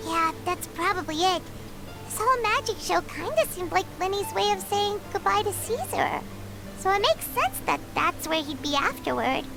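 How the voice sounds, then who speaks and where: A woman's voice: extremely high pitch, big pitch swings, moderate pace, gravelly, heavily acted. A woman voicing a tiny chatty female fairy companion who talks about herself in the third person, in game dialogue. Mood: curious. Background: game music.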